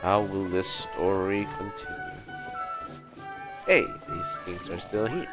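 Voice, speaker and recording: A male livestreamer with a deep voice gives casual game commentary. He muses aloud at a slow, unhurried pace, with music clearly playing behind him. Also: low-quality recording. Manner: musing, thinking aloud.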